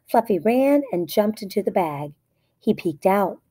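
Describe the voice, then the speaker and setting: A woman's voice: medium pitch, expressive, unhurried, dark tone, slightly rough. A woman narrating a children's story aloud in short, plainly phrased sentences. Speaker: storybook reader.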